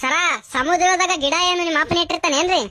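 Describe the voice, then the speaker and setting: Squeaky cheeky North Karnataka kid. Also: female voice, quick pace. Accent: North Karnataka Kannada. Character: cheeky squeaky little schoolchild answering back to teacher. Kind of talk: animated comedy skit dialogue. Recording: very noisy clip audio.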